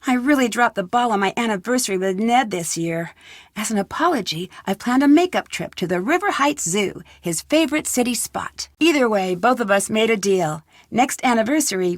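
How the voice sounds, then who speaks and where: A woman's voice: on the high side, animated, moderate pace, no room sound, clean studio sound, close to an everyday voice. A woman playing a confident, polite young detective in a video game, composed, friendly and articulate. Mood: reflective.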